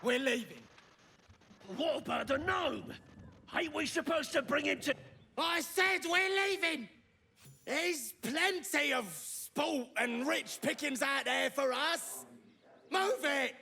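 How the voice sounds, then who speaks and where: An actor playing a brutish male goblin raider who jeers and barks orders, with a gravelly, bright voice. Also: extremely high pitch, slow pace, full character voice. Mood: bossy. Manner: shouting, jeering. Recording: faint background noise.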